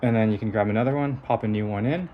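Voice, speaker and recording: A man's voice: lowish voice, fast talker, phone-quality sound, slight background noise. A man giving a hands-on technical demonstration of a device in a methodical, explanatory manner. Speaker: engineer presenting a prototype device.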